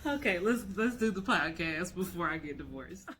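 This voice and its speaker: A young woman and mother co-hosting a casual podcast with her wife, with dry, unhurried jokes. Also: on the low side, animated, a bit bright, a little hiss or hum. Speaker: podcast co-host. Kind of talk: casual chat.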